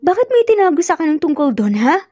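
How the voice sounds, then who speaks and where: A woman's voice: extremely high pitch, big pitch swings, fast, mellow, dry recording, clean studio sound, soft background music, voice pushed up. A woman voicing a hurt, guarded young ex-girlfriend who speaks with wounded reproach in a romance story. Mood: reproachful.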